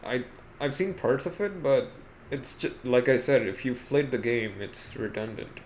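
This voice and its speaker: A man with a slightly gravelly voice co-hosting a podcast, chatting casually about films and games. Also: mid-range voice, lively intonation, normal speed, a little hiss or hum. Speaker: podcast co-host.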